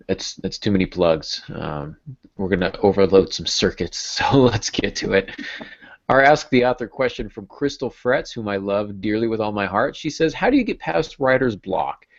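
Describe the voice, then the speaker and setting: A man's voice: lowish voice, expressive, moderate pace, creaky. A man in his thirties hosting a casual podcast, with a dryly self-deprecating way of talking. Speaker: podcast host. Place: small home room with bookshelves. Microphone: earbud headset on a video call. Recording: dull video-call audio.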